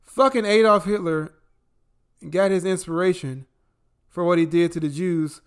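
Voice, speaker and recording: A young adult man from the American Midwest co-hosting a casual political podcast, profane and emphatic, swinging between loud outbursts and low asides. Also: measured pace, clear, resonant tone. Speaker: podcast co-host.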